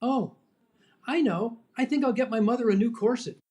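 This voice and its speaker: A man's voice: extremely high pitch, moderate pace, a little mellow. An actor playing a silly, guileless young man who is easily confused, in an old-time radio comedy. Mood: eager. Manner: guileless, dim-witted.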